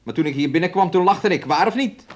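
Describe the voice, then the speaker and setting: A domineering young man in a radio drama. His voice sits a little high, and he talks in a taunting, moody, resentful way, as if always needling his partner. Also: lively intonation, quick pace. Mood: sullen, needling. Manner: speaks of himself and her in third person, taunting. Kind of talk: radio play acting.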